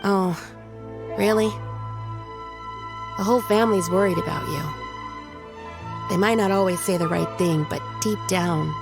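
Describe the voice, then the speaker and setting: A woman playing an earnest, worrying young shrine maiden in a video game, with an expressive, questioning manner. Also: lowish voice, measured pace, pauses often, over music. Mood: concerned. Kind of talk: English video game voice acting.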